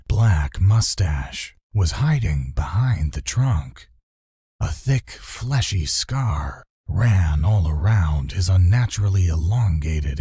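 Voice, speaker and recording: A low-voiced man reads a children's fantasy tale with theatrical suspense. His delivery is unhurried and very husky, with lively swings in intonation. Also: dry recording, studio quality. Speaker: audiobook narrator. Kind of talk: dramatic scripted children's fantasy narration.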